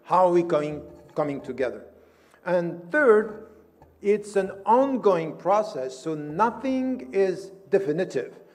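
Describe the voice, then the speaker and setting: A man's voice: high voice, sing-song, slow pace, a little mellow. A man from Switzerland giving a formal conference lecture in an emphatic, didactic manner, posing questions and answering them. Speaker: invited speaker at a conference. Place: conference hall, indoors. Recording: reverberant large hall.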